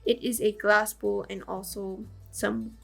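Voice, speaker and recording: A woman with a raspy voice, hoarse from the flu, narrating a game build in casual, rambling voice-over. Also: medium pitch, little pitch movement, measured pace, fairly bright tone, faint music behind. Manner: rambling, lots of fillers and small laughs.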